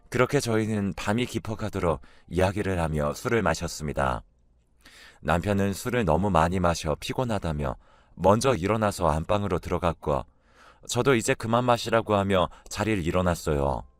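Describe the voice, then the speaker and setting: A man's voice: deep voice, no echo. A man reading a scripted first-person story as its narrator.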